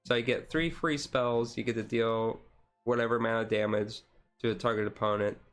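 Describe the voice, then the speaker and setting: A man's voice: mid-range voice, fairly even intonation, normal speed, fairly bright tone. A man livestreaming in a casual, laid-back, rambling way with informal, jokey slang.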